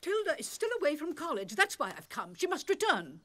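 A woman's voice: sits high, sing-song, a little fast, pushed and bright, heavily acted. A woman playing a stern older education official in a cartoon, with a British accent and a formal, disdainful manner. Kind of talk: animated cartoon acting.

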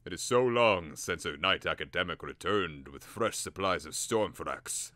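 Man doing a worried old academic, slow and sighing. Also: deep voice, animated, pushed and bright, no echo, exaggerated voice. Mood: concerned. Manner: fretful, sighing. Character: old learned male professor, worried.

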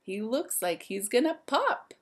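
A woman's voice: fairly high pitch, sing-song, measured pace. A woman in her thirties, a teacher reading a picture book aloud to young children in a sing-song way, speaking to them directly.

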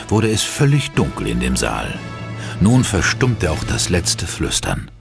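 A man narrating a horror audio drama in a measured, suspenseful, theatrical manner. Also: sits low, gravelly, heavily acted. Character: male narrator of a horror radio play, ominous and suspenseful.

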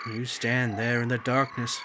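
A man's voice: medium pitch, little pitch movement, a little slow, background noise, lightly acted. A man playing a sinister, taunting narrator of an old-time radio mystery, addressing the listener in an ominous, needling way. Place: indoors at home, video call setup.

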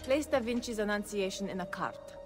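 An actress playing a bitter, seductive duchess with an Italian accent in a video game, haughty and theatrical. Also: female voice, medium pitch, brisk, over music, lightly acted. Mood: cool. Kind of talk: video game cutscene acting.

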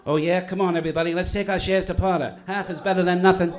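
A man's voice: high-pitched, steady tone, fast, lightly acted. A man playing a blunt, stubborn townsman who insists on his money, in a community radio play. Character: angry stubborn male townsman demanding money.

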